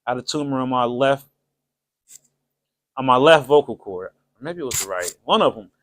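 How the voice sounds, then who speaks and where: A man's voice: mid-range voice, expressive, moderate pace, a little hiss or hum. A man hosting his own channel, chatting casually and jokingly to viewers in a loose, rambling way. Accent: Ohio, American. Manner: chatty, rambling, jokey with frequent laughs; shout-outs to viewers, loose colloquial speech. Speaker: channel host. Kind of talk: casual livestream monologue. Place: home setup with cameras.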